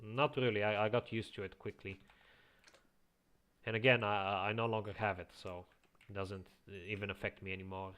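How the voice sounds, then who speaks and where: A man's voice: lowish voice, normal speed, clear, resonant tone. Man with a slight Eastern European accent. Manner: reads chat aloud, chuckles often, relaxed. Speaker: streamer. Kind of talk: casual live-stream chat while playing. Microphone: quiet home microphone.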